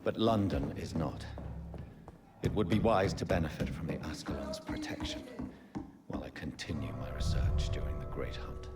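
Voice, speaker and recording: An actor playing a grave, courteous vampire doctor in a game, weary and principled, speaking in measured, formal phrases. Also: male voice, extremely low pitch, loud music behind the voice. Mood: brooding. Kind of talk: dramatic game dialogue and inner monologue.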